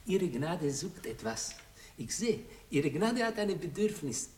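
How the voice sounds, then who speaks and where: A man's voice: a little high, sing-song, a little slow, darkish tone, echoey room, far from the mic, a little hiss or hum. An actor playing a scheming Italian intriguer who offers his spying services in broken speech with an Italian accent, in a 1960s radio drama. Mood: wheedling. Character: scheming Italian male intriguer, fawning spy for hire.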